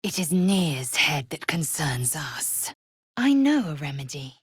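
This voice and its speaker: A woman's voice: deep voice, sing-song, slow pace, breathy edge, dry recording, clean studio sound, heavily acted. A woman playing a fierce, proud alien warrior in a video game, haughty and clipped, with formal, disdainful phrasing. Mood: haughty, stern. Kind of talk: video game character voice lines.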